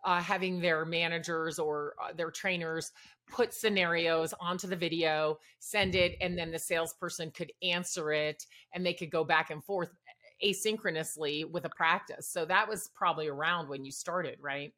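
A woman with a slightly low voice hosts a casual business interview. She asks loose follow-up questions and keeps things friendly and encouraging. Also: fairly even intonation, normal speed, pushed and bright. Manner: friendly, encouraging, brief backchannels. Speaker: podcast host.

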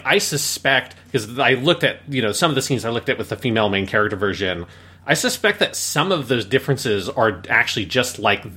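Bright-toned man rambling through a casual review. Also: on the low side, breathy edge. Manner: rambling, frequent "like". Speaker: podcast host and reviewer.

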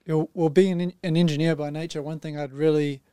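A native English-speaking man, a department head, chatting as a guest on a podcast. His voice is mellow and smooth, a little higher than most men's. His talk is friendly and relaxed, with "you know" fillers, and the sound is dry. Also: quick pace, no room sound. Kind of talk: casual podcast conversation.

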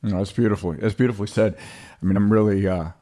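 A man's voice: fairly low pitch, fairly even intonation, quick pace, mellow. A man hosting a podcast interview, speaking in a reflective, appreciative way. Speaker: podcast host.

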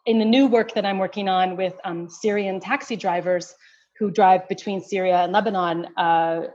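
A woman's voice: mid-range voice, fairly even intonation, moderate pace, darkish tone, lo-fi sound. A middle-aged woman, an anthropologist, answering interview questions in a thoughtful way, often restarting her sentences. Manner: thoughtful, many restarts and fillers. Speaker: anthropologist guest.

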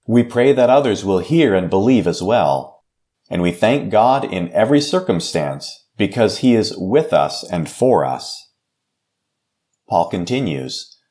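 An American man speaking as a pastor in a measured, solemn and formal devotional style. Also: fairly low pitch, warm tone. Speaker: pastor and podcast host. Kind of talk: devotional scripture reading, short sermon and prayer.

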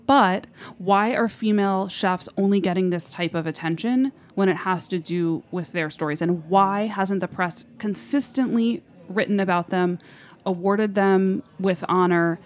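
A woman co-hosting a casual food show, enthusiastic and quick to laugh. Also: on the low side. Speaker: podcast co-host. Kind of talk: casual chat.

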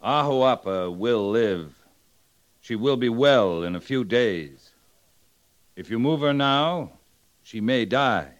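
An actor playing a stern, steady cavalry captain in a radio western, speaking with formal, measured authority. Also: male voice, medium pitch, lo-fi sound. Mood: calm, firm. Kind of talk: old radio western acting.

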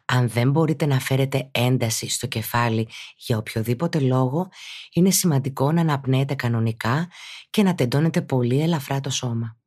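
A woman speaking as a yoga teacher and podcast host, guiding a meditation in a calm, instructive, deliberate way. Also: rumbling low. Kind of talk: guided meditation narration.

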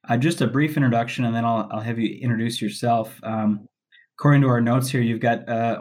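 A man's voice: medium pitch, darkish tone. Friendly male podcast host. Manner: friendly, with fillers. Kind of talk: interview hosting.

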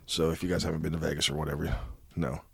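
A man's voice: extremely low pitch, fast talker, gravelly, slightly rough, no echo, studio quality. A middle-aged man co-hosting a casual chat, telling profane, jokey stories and throwing himself into the voices of the people he quotes. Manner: animated, over-the-top storyteller with big voiced quotes. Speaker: podcast co-host.

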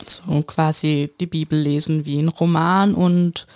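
A woman's voice: deep voice, flat delivery, measured pace, a little mellow. A woman with a Palatinate German accent, co-hosting a casual podcast about the Bible and finishing her co-host's sentences. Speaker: podcast co-host.

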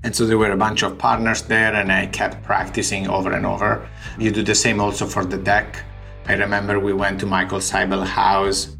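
A man's voice: lowish voice, completely flat delivery, moderate pace, vocal fry, sharp tone, faint music behind. A man from Italy with an Italian accent, a startup founder talking through his fundraising in an interview, explaining figures step by step. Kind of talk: recorded interview.

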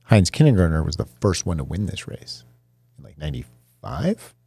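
Very deep, mellow-voiced man bantering. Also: big pitch swings, gravelly, husky, dry recording. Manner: joking, quick banter. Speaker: podcast co-host. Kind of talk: casual chat.

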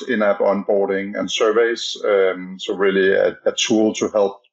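Halting Danish-accented man, lo-fi sound. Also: on the low side, darkish tone. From Denmark. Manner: halting, many fillers and restarts. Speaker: podcast guest, startup co-founder. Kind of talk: casual interview talk.

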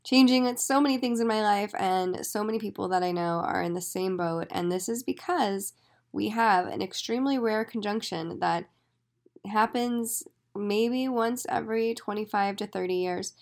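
A woman hosting a solo astrology podcast, her voice raspy and hoarse, speaking in an enthusiastic, effusive way. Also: medium pitch, moderate pace. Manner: enthusiastic, effusive, talks in spiritual terms. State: hoarse after days of yelling. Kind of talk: casual solo podcast monologue.

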